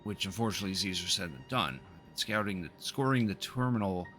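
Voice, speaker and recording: A man's voice: low-pitched, lively intonation, fast talker, a bit bright. A man livestreaming a game, reading its dialogue aloud with casual, slangy asides. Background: video game music.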